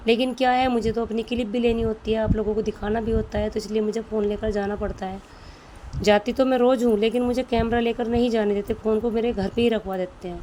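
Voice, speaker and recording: A woman's voice: on the high side, fairly even intonation, fast, warm tone, slight background noise. A woman vlogger with a sore throat, chatting homely and directly to her viewers about her day. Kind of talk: casual home vlog voice-over.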